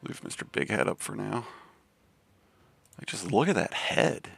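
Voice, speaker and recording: A man from the Southern US with a deep voice and a Southern accent, chatting casually on a game live stream. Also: animated, a little slow, creaky, a bit bright, husky. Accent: Southern American. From Southern United States. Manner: laid-back, rambling with many fillers.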